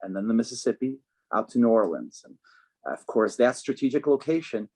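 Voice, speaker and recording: A man running for office, answering interview questions in an earnest, measured way with frequent ums. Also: medium pitch, darkish tone. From United States, Chicago. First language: Polish. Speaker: political candidate, guest.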